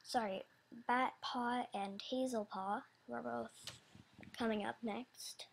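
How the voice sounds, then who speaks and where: A woman's voice: a little high, fairly even intonation, slow pace, gravelly, a little hiss or hum. A woman giving a casual, loosely scripted announcement about animation characters in a hesitant, rambling, apologetic way. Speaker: animator describing characters for voice auditions.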